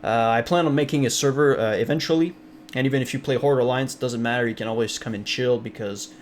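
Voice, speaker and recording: A man's voice: mid-range voice, quick pace, a little hiss or hum. A young man with a French Canadian accent, a streamer from Ontario whose first language is French, chatting casually with viewers in a rambling way full of fillers. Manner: rambling, many fillers and restarts, reads chat aloud. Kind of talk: casual live-stream chat.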